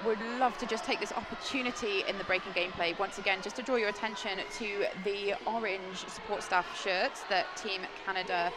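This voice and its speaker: A woman from Britain does live roller derby commentary, explaining calmly and lifting with excitement as the action builds. Her voice sits in the middle of the range. Heavy venue noise fills the recording behind her. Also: medium pitch, a little fast, hardly any pauses. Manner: earnest, explanatory, grows excited on plays. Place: sports venue.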